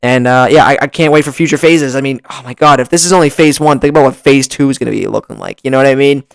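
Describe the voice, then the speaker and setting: Man, excitable vlogger, rambling, slightly hoarse, smooth voice, dry close desk mic. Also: medium pitch, quick pace, no room sound. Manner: excitable, rambling with many restarts. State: slightly hoarse from long recording. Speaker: YouTuber. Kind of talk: casual commentary vlog. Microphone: desk mic, bumped at times.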